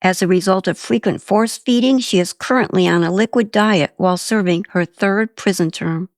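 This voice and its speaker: A woman reading a scripted news report in a measured, formal manner. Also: mid-range voice, dry recording, clean studio sound. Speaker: news reader.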